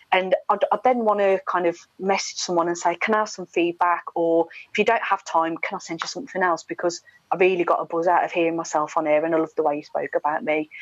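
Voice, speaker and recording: A middle-aged woman, a radio presenter, chatting in an opinionated, emphatic way as an interview guest over a video call. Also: fairly low pitch. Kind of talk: casual podcast interview chat. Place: small room indoors, video call. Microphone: wired earphone headset. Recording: dull, echoey video-call audio.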